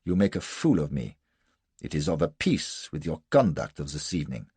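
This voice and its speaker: A man's voice: a bass voice, sing-song, moderate pace, warm tone, slightly rough, no room sound, heavily acted. A man voicing a pompous, vicious Prussian officer, formal and coldly menacing, in an audiobook story. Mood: cold menace.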